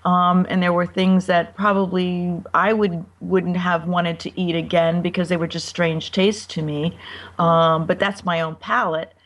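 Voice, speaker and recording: American woman, soft and a bit distant. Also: lowish voice, little pitch movement. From United States. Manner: friendly, halting with ums. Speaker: cookbook author, guest. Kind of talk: interview answers.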